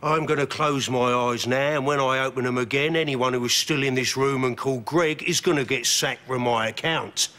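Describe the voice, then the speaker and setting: An actor playing a blustering, threatening client with a British accent in a sitcom. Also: male voice, mid-range voice, steady tone, moderate pace, hardly any pauses, sharp tone, lightly acted. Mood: menacing indignation. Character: menacing, absurdly violent client proud of his cheese. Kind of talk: sitcom acting.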